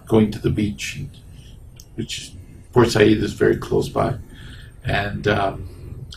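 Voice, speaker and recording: Older Egyptian-born man, gravelly voice. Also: lowish voice, recorded from a distance, noisy background. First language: Arabic. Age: 60s. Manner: hesitant, many ums and restarts. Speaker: interviewee, engineering professor. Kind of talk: oral history interview. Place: small indoor office. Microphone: lapel mic.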